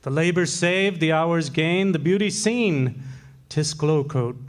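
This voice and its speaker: A man playing a hammy pitchman who fancies himself a Shakespearean actor, declaiming floridly in 1940s radio comedy style. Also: slow. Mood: grandly declaiming.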